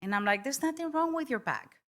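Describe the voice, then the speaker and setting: A woman's voice: sits high, expressive, a little fast, a bit bright. A woman, a guest who owns a stretching studio, answering interview questions in a plain, matter-of-fact way and explaining with examples.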